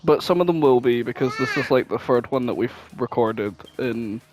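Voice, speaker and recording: A man's voice: medium pitch, moderate pace, a little mellow, faint music behind. A man chatting casually as a podcast co-host, informal and prone to swearing. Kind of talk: casual chat, guessing game.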